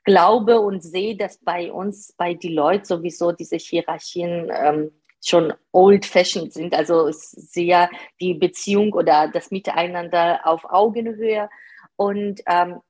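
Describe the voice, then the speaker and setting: A woman's voice: lowish voice, steady tone, unhurried, darkish tone, slightly rough. A woman in her thirties answering interview questions earnestly, hesitating and searching for words with many fillers. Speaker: podcast guest, part-time manager.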